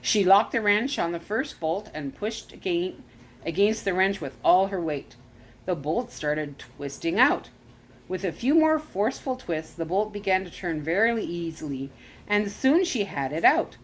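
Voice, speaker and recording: A woman reading a children's chapter book aloud as a storyteller, expressive and animated, now and then correcting herself. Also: medium pitch, a little slow, a little hiss or hum. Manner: expressive read-aloud with lively rises on exclamations; occasional self-corrections.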